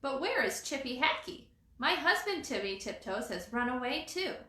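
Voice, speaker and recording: A woman voicing a worried, scolding wife squirrel from a storybook, calling out in a drawn-out singsong. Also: high voice, big pitch swings, pushed and bright, voice pushed up, full character voice. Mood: apologetic, worried. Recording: roomy echo.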